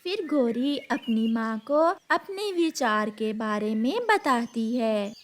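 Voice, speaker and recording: A woman's voice: on the high side, animated, slow pace. A woman reading scripted narration for a children's story as its narrator.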